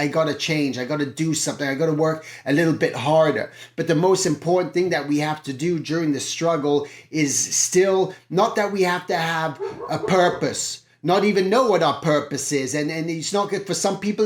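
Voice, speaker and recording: An earnest man with a slightly high voice gives a motivational talk as an art teacher. He encourages his listeners and keeps looping back on his own phrases and questions. The sound is roomy and echoey. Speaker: art teacher and channel host.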